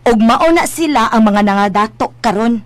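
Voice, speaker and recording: Woman acting as chatty young cebuano daughter in a radio drama, really high voice, big dreams, dry close sound. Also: expressive, moderate pace, no room sound, close to an everyday voice. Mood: earnest, confident. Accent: Filipino, Cebuano. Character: ambitious, playful young daughter who dreams of riches.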